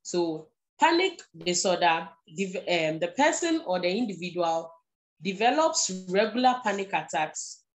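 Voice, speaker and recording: Slow-speaking Ghanaian woman explaining patiently. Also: fairly low pitch, animated, rough recording. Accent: Ghanaian English. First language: not English. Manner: patient, explanatory, repeats key points, often checks in with "okay". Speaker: guest speaker on mental health. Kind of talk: live-streamed explanatory talk.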